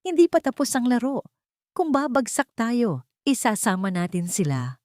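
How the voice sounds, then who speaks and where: A woman with a Filipino accent voicing a vindictive, hot-tempered young mistress in an audio story, sharp and menacing. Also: on the high side, sing-song, moderate pace, no echo, clean studio sound, pitched above the performer's own voice. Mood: cold, menacing.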